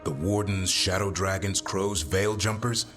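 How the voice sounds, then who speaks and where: A man's voice: deep voice, steady tone, measured pace, music in the background. An actor voicing a wry monster-hunting warrior in a video game, a man searching for purpose. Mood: easygoing.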